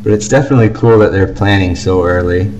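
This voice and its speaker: A man's voice: sits low, quick pace, music in the background. A young man chatting casually as co-host of a fan podcast, on an amateur home recording.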